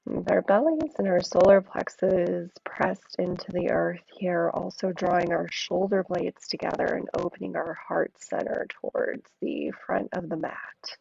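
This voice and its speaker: A woman with a low, mellow, deeply gravelly voice guides a yin yoga class at an unhurried crawl. She sounds hoarse and a little froggy, her cues soft and drawn out. The recording is dull and lo-fi. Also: dry recording. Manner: slow, soothing, drawn-out cues. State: hoarse from an allergy attack.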